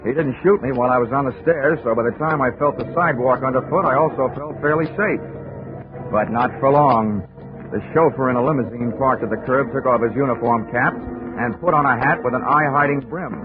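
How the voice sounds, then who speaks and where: A wisecracking hard-boiled detective, voiced by a man talks in a dry, clipped, medium-pitched voice. Music runs behind him, and the sound is tinny like a vintage radio broadcast. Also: fairly quick, lightly acted. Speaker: narrator and hard-boiled investigator. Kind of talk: 1940s radio acting.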